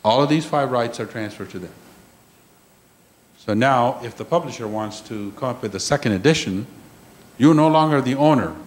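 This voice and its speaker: A man's voice: mid-range voice, moderate pace, pauses often. A man giving an emphatic conference lecture, repeating key points and checking in with the audience. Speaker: conference panel speaker. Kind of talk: lecture on intellectual property. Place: conference room.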